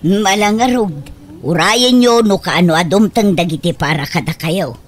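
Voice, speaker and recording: A woman's voice: on the low side, normal speed, close to an everyday voice. A woman playing a chatty, fussing mother in a comedic Ilocano radio drama. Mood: fussing, nagging.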